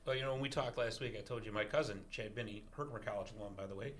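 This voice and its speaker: A man hosting a college podcast in a studio, energetic and jokey with emphatic delivery. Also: fairly low pitch, rapid-fire. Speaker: podcast host. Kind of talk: upbeat interview hosting.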